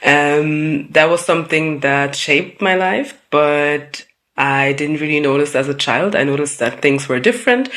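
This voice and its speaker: Measured woman guest. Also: very deep voice, fairly bright tone. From Germany. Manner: thoughtful, measured, with frequent um pauses. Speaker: guest, founder of an organization. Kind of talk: interview answers.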